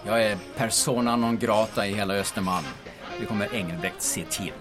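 An actor playing a downcast, self-pitying, out-of-work private detective in a comic radio drama. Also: male voice, fairly low pitch, music in the background, lightly acted. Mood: resigned, defensive.

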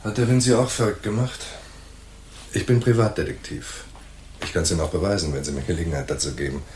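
Low-voiced wry private detective, played by a man. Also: quick pace, a little mellow, slight background noise, lightly acted. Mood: cool, dry. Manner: laconic, sardonic. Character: wry, cool male private detective narrating his case. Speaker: narrator and lead actor in a radio play. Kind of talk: hardboiled crime radio drama.

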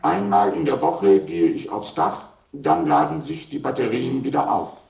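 An actor playing an obsolete household robot in a radio play, polite, formal and literal, a slow-witted servant. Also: male voice, mid-range voice, wildly swooping pitch, vocal fry, a little mellow, roomy sound, slight background noise, exaggerated voice. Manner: courteous, formal, matter-of-fact. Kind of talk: German radio play acting.

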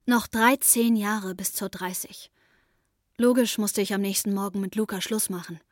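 A woman's voice: medium pitch, fairly even intonation, normal speed, no room sound, studio quality, natural voice. An actress playing an intense, troubled young woman who narrates her breakdown in a wry, matter-of-fact way in a radio play.

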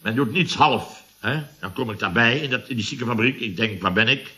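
Actor as blustering boss, bright forceful voice. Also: male voice, medium pitch, a little fast, close to an everyday voice. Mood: aggrieved. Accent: Netherlandic Dutch. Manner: pompous, complaining, exclamatory. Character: blustering, self-important male boss of a building firm, aggrieved. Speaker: actor in a radio comedy serial. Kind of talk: 1970s radio comedy acting.

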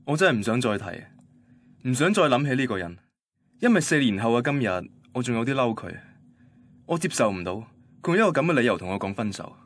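A man's voice: mid-range voice, sing-song, brisk. An actor playing a heartbroken young man recounting his first love in a radio drama, wistful and self-deprecating, with a Hong Kong Cantonese accent. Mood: bitter, reflective. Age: young adult. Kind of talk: radio drama narration and dialogue.

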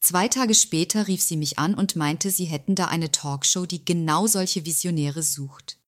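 A woman's voice: fairly low pitch, normal speed, no echo, clean studio sound, lightly acted. A woman playing a smug, scheming company confidante in an audio drama monologue, slyly self-satisfied with feigned innocence. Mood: smug, coy.

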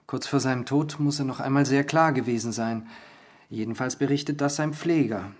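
A man's voice: mid-range voice, lightly acted. A man playing a probing investigative journalist in a radio drama, matter-of-fact in delivery.

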